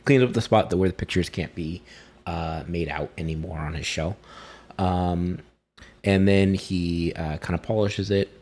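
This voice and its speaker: A man's voice: sits low, a little slow, a little mellow. A man in a tabletop game, casually describing his character and piecing ideas together hesitantly as he speaks.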